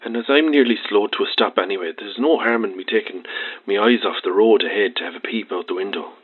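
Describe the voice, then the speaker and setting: Frightened Irish trucker on a tinny phone. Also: male voice, on the low side, slightly rough. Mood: haunted, hushed. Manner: halting, stammering, rambling colloquial storytelling. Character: frightened, agitated male truck driver calling late-night radio. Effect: phone line. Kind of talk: audio drama acting. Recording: muffled, tinny phone line.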